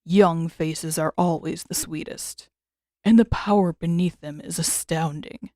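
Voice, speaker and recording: A woman's voice: low-pitched, unhurried, mellow, dry recording, studio quality, voice pushed down. A woman voicing a menacing centipede spirit in a storybook reading, savoring ornate phrases in a rich tone. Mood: gloating, hungry. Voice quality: rich, unnervingly smooth tone. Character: menacing, gloating centipede spirit with a human face.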